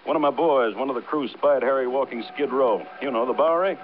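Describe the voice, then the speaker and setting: A man's voice: medium pitch, quick pace, natural voice. A tough, talkative American crew boss acted by a man in a classic radio drama. He talks in breezy, clipped slang, over a muffled old recording with some background noise. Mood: confiding. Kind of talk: 1950s radio drama acting.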